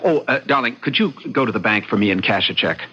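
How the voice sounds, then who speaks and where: An actor playing an earnest, unsuspecting husband in an old-time radio drama, speaking emphatically with a husky edge. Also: male voice, medium pitch, animated, quick pace, pushed and bright. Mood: concerned. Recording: dull vintage radio recording.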